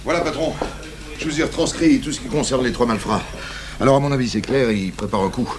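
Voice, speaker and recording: A man's voice: medium pitch, quick pace, lightly acted. An actor playing a keen, deferential male police inspector, reporting casually and informatively in a French radio crime drama. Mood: keen, businesslike. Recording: faint noise, old radio recording.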